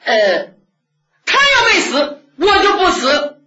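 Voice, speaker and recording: A woman's voice: very high voice, huge pitch swings, takes it slowly, bright, forceful tone, pitched above the performer's own voice, exaggerated voice. A woman storyteller voicing an earnest, devoted young man who pleads his case, in a dull lo-fi recording.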